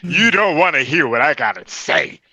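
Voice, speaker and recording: A man's voice: fairly high pitch, very animated, moderate pace, sharp tone, no echo. A man on a casual panel, bantering in a playful, emphatic way with repeated phrases. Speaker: show panelist.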